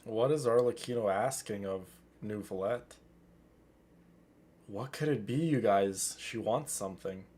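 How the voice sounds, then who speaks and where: Lowish-voiced man streaming a game. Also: unhurried, a little mellow, slightly rough. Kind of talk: casual live commentary over gameplay.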